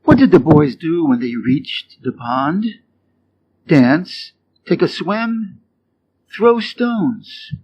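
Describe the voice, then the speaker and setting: An American man from the Midwest reading short fables and quiz questions clearly and deliberately for English learners. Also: fairly high pitch, very animated. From Wisconsin, USA. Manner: clear, deliberate, rising quiz intonation on answer choices. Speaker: English teacher reading stories. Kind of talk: slow scripted storybook reading for learners. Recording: dull lo-fi sound with faint noise.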